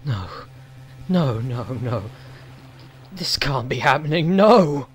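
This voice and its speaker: A man's voice: medium pitch, lively intonation, takes it slowly, a little hiss or hum. An actor playing a horrified man cornered by an avenger in a melodramatic audio drama.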